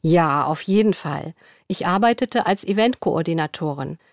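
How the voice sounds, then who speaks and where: A young woman in a studio, reading interview answers in a scripted dialogue at an even, clear pace. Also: lowish voice, normal speed, dry recording.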